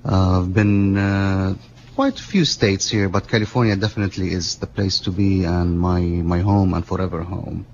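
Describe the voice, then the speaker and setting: A man's voice: low-pitched, steady tone, moderate pace. A man born in Lebanon, a business owner chatting as a guest on a radio show, rambling with many fillers. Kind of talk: casual radio interview. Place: radio studio. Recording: muffled radio broadcast with faint hiss.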